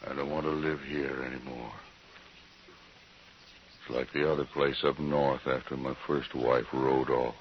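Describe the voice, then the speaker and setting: An actor playing a gaunt, grieving frontier homesteader in an old radio western, speaking plainly and haltingly with resigned weariness. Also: male voice, extremely low pitch, flat delivery. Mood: bitter resignation. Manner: plain rustic speech, halting, subdued. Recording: dull, hissy old radio recording.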